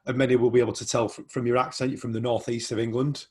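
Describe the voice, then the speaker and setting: Fast chatty podcast host guy, easygoing, calls everyone mate. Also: medium pitch, little pitch movement, mellow. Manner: friendly and encouraging, calls the guest mate. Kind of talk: casual podcast interview.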